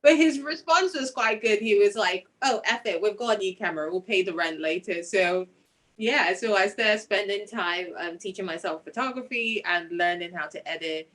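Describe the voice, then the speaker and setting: A woman's voice: medium pitch, moderate pace, fairly bright tone. A woman with a Nigerian accent, a singer-songwriter telling her personal story in an interview.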